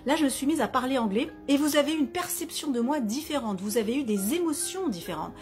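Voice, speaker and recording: Bright-voiced woman explaining to camera. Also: high voice, brisk, soft background music. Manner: lively, explaining with examples and asides. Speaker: wellness author and practitioner.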